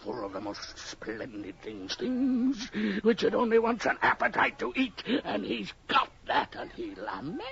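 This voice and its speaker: An actor playing a monstrous fat old gentleman in a radio horror drama, gloating and theatrical, muttering and chuckling. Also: very high voice, big pitch swings, a little slow, gravelly, hardly any pauses, heavily acted. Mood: scornful, pompous. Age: older. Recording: muffled, noisy old radio broadcast recording.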